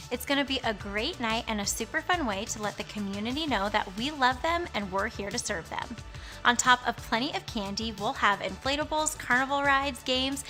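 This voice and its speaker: Woman announcer, bright clear tone, slightly high voice, scripted church announcements, soft music underneath.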